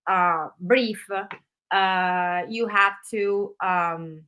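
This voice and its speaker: Bright-voiced Italian woman, animated. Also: lowish voice, extremely slow, smooth. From Italy. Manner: animated, loose run-on phrasing with frequent fillers. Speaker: guest, actress. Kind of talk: casual interview conversation.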